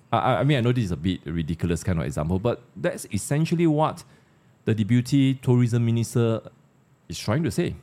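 A man's voice: mid-range voice, lively intonation, a little fast, dark tone. A man with a Malaysian accent hosting a casual panel discussion, conversational and putting questions to his co-panelists. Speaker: discussion host.

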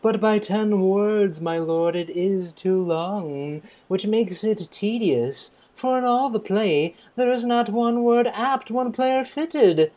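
A dry master of revels at court, acted by a woman. The voice is low-lit and mellow, with a slow, deliberate delivery. It is classical Shakespearean acting for a radio play. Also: close to an everyday voice. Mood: wry, disdainful.

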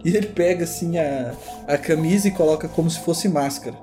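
A man's voice: on the high side, fairly quick, dark tone, faint music behind, close to an everyday voice. A man playing an easygoing working man in a tabletop roleplay, hesitant and colloquial as he describes his actions. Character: laid-back, plain-spoken working man, easygoing sidekick. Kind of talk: improvised tabletop roleplay, narrating own actions.

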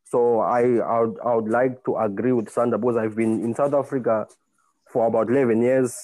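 A man with a Zimbabwean accent giving his view in an online discussion, speaking deliberately with hesitant pauses. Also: medium pitch, steady tone, darkish tone, no echo, low-quality recording. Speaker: participant in a group discussion. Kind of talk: spoken contribution to an online panel discussion.

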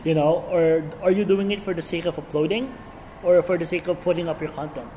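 A man's voice: a little high, steady tone, fairly quick, dark tone. A young Filipino man raised in Saudi Arabia, chatting casually in slangy, blended international English as a podcast guest. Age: young adult. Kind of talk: casual chat. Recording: long hall reverb, faint background noise.

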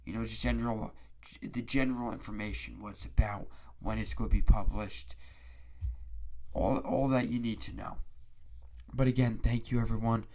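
A man hosting a casual solo sports podcast, stuffy-nosed and hoarse from a cold, rambling and restarting his sentences. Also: fairly low pitch, fairly even intonation, moderate pace, darkish tone. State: congested, stuffy nose; hoarse, raspy throat.

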